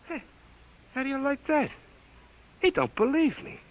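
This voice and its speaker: An actor playing an excitable, wisecracking male radio operator, breezy and slangy, in 1950s radio drama style. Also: very high-pitched, unhurried, lo-fi sound, heavily acted. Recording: old radio recording with faint noise.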